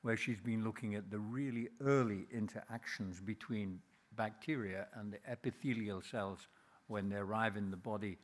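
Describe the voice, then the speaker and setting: A man's voice: lowish voice, steady tone, moderate pace. A man with a Yorkshire accent giving a speech as a jury member presenting an award at a ceremony. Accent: Yorkshire English. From Yorkshire, England.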